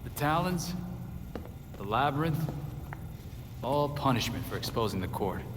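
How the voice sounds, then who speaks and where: A man's voice: medium pitch, lively intonation, unhurried, lightly acted. A man playing a principled young vigilante in a video game, earnest and conciliatory. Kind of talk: dramatic video game acting. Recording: long reverb, noisy background.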